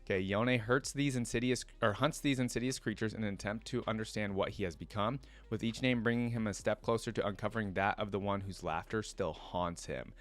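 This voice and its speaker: A man's voice: lowish voice, brisk, few pauses. A man hosting a reaction video, reading lore aloud and adding informal, casual fan commentary.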